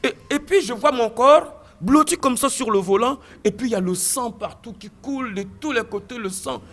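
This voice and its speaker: A man giving a testimony in an interview, telling his story with animated delivery, stammered restarts and repeated phrases. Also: very high voice, moderate pace. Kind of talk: recounting a personal story in an interview.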